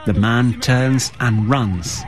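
Man narrating a serious news report. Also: mid-range voice. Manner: serious, measured.

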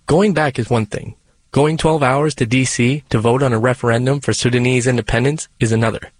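Guy reading radio feature narration, steady measured delivery, medium pitch. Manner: measured, even storytelling delivery.

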